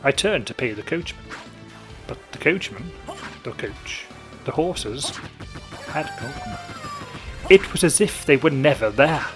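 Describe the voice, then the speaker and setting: Male storyteller, unhurried, big lively pitch, dramatic and playful. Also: music in the background, poor audio. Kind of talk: scripted story narration.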